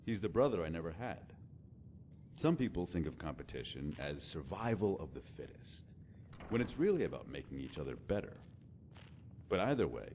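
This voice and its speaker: A man acts out a thoughtful, genial poet-bard from a fantasy video game. His baritone is extremely low with a gravelly edge, and it moves with lively, expressive melody as he muses in an easygoing way. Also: a little hiss or hum, heavily acted. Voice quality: deep baritone. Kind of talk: scripted fantasy game dialogue.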